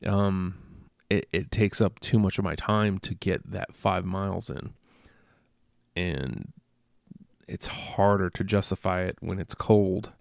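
Man, congested, gravelly, low-pitched, rambling solo podcast, close mic, dry sound. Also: slow, no echo. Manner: rambling, self-deprecating, many fillers and restarts. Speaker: podcast host. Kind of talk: casual solo podcast monologue. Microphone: close podcast microphone.

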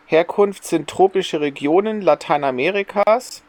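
A man's voice: sits high, unhurried, darkish tone. A man co-hosting a podcast in a casual, conversational style. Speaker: podcast co-host.

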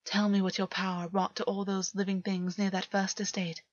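A woman voicing a cruel male fae in a story, taunting a captive in a deliberate, menacing way. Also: mid-range voice, little pitch movement, no echo, dull audio, natural voice. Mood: contemptuous.

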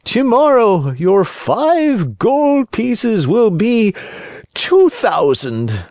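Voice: medium pitch, sing-song, a little mellow, dry recording, studio quality, pitched above the performer's own voice, full character voice. A reader playing a sly, coaxing fox. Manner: drawn-out, coaxing. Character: sly scheming fox, smooth talker.

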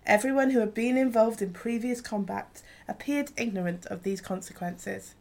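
A woman's voice: a little high, normal speed, vocal fry, noisy background. A woman reading scripted narration for a historical radio play.

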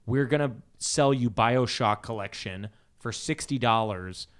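A man's voice: medium pitch, a little slow, smooth. A man hosting a casual panel chat about video games. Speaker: podcast host.

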